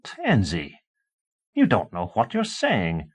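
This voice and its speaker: A man's voice: fairly low pitch, wildly swooping pitch, slow pace, husky, voice pushed up, exaggerated voice. A man reading an audiobook, voicing an exasperated professor husband who speaks firmly and impatiently. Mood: stern. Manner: firm, impatient.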